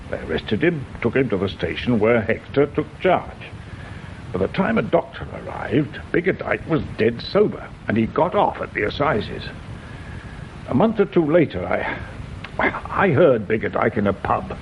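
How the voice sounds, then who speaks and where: An actor playing an indignant, guarded older English father in a radio mystery drama, blustering and hesitant when pressed. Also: medium pitch. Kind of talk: British radio drama acting. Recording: dull radio recording, noticeable background noise.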